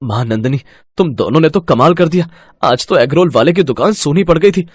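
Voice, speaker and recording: A man's voice: on the high side, lively intonation, a little fast, husky, no echo. A man playing an earnest, plain-spoken young husband who sells food from a cart in a moral story. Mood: delighted.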